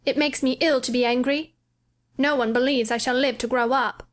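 A woman's voice: sits high, fairly even intonation, moderate pace, pitched above the performer's own voice, natural voice. A woman reading an audiobook, voicing a sickly, spoiled ten-year-old boy who talks in a demanding, coolly indifferent way. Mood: imperious. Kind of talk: audiobook character voice.

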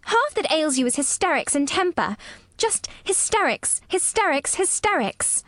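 Woman voicing a furious, high-pitched bossy little girl. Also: very animated, moderate pace, a bit bright, dry recording, clean studio sound. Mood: fiercely scolding. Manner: fierce, commanding, scolding.

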